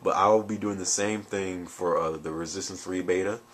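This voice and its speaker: A tired man with a very deep voice, chatting casually in a video and rambling with jokes, fillers and restarts. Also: steady tone, moderate pace, a bit bright. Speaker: gaming video creator. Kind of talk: casual vlog chat.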